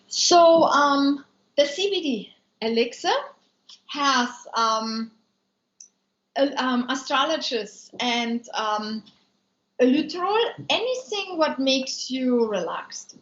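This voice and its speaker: A woman's voice: high-pitched, fairly bright tone. Austrian lady, german accent, rambling live-stream chat, dull lo-fi sound. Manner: chatty, loose phrasing with many ums. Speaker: food maker and business owner. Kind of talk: live-stream product talk, answering viewer comments. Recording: echoey room, dull lo-fi sound.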